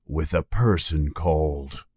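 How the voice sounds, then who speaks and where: A man voicing a big gruff but kind-hearted giant in a storybook reading, growling low and slow. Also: a little mellow, dry recording, studio quality, heavily acted. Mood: gruff, troubled.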